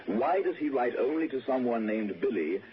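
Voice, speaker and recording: An actor playing a gentle, wistful, courteous lord in 1960s radio drama, speaking with hesitant, softly persuasive politeness. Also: male voice, mid-range voice, expressive, moderate pace, natural voice. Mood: earnest, probing. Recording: dull, noisy 1960s radio recording.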